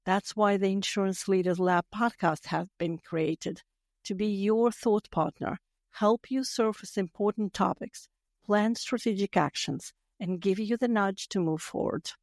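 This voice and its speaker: A woman in her fifties from Latvia with a Latvian accent, hosting a podcast in a measured, carefully read monologue. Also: medium pitch, a little mellow, dry recording, clean studio sound. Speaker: podcast host. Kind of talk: scripted solo podcast monologue.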